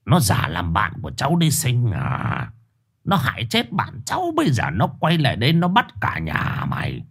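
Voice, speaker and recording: A man voicing a scheming old male village sorcerer in a low, gruff, sly voice. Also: wildly swooping pitch, normal speed, fairly bright tone, heavily acted. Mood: persuasive.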